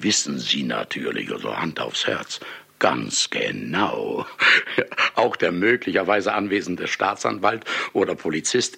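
Radio drama lead: brash boozy male novelist, sardonic and theatrical, husky, slow and savouring, widely swooping delivery. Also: extremely low pitch, pushed and bright, exaggerated voice. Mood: sly, ironic. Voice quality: gravelly, husky. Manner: sly, chuckling, ceremonious asides with drawn-out words. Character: brash, hard-drinking, celebrated male novelist, sardonic and self-mocking. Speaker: narrator addressing the audience. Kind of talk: theatrical radio play monologue.

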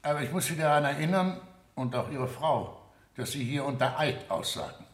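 A male actor plays a presiding judge in a radio drama. His mid-pitched voice is stern, formal and authoritative, ringing in a roomy courtroom. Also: expressive, normal speed, natural voice. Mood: formal, admonishing. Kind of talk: 1970 German radio play acting. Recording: echoey studio courtroom, older radio production.

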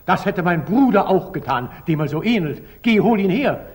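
An actor playing a proud, magnanimous sultan with weighty, emotional declamation, in the style of 1940s radio drama. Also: male voice, high-pitched, expressive, moderate pace, a little mellow, breathy edge. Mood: eager, commanding. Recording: aged 1940s radio recording.

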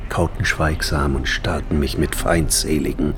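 Uneasy, very low male inner voice. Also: steady tone, measured pace. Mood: tense, absorbed. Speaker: radio drama narrator. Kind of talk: literary radio drama narration. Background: prominent music.

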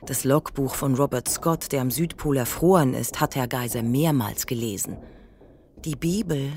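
A woman performing as the sober narrator of a literary radio play, speaking in a measured, precise way. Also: a bass voice, darkish tone, slight background noise. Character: sober female narrator observing an ageing widower.

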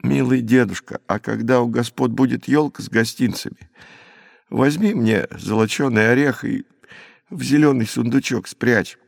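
A man's voice: medium pitch, fairly even intonation, moderate pace, gravelly, dry recording, clean studio sound. A man voicing a naive orphaned peasant boy in an audiobook, pleading in plaintive, rustic folk speech. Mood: earnest, naive.

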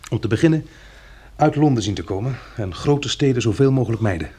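An actor playing a practical, resolute man planning survival after a catastrophe in a Dutch radio drama, hesitating as he thinks aloud, with a husky, gravelly voice. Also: medium pitch, animated, fairly quick, dark tone. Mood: urgent.

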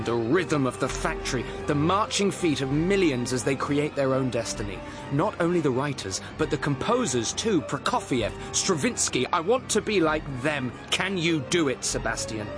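A man's voice: mid-range voice, natural voice. A man in a radio drama playing an intense, obsessive young composer with a fervent, declamatory manner.

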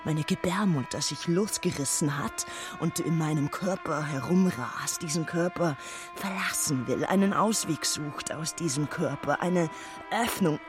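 A woman playing a bitter, furious, anguished figure in an intense literary radio monologue, deliberate and insistently repetitive. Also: sits low, music in the background.

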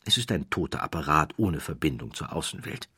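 Deep-voiced man, dramatic narrator. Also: expressive, sharp tone, breathy edge, dry recording. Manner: measured, dramatic storytelling. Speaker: audiobook narrator. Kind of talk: scripted narration of a historical true story.

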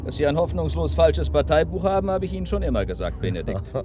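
A man's voice: fairly high pitch, expressive, quick pace, lightly acted. A man playing a brusque, self-important government press officer in a radio play, with a smug, dismissive manner. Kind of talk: naturalistic radio play dialogue. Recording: loud car and traffic noise.

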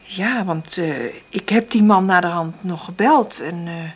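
A woman's voice: lowish voice, measured pace, breathy edge. An actress playing an anxious single mother in a naturalistic radio play, speaking in a hesitant, faltering way.

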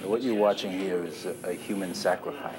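An American man in his fifties giving grave, emphatic interview commentary with deliberate pauses. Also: sits low, steady tone, heavy background noise. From United States. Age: 50s. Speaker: interviewee, former drug investigator. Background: music.

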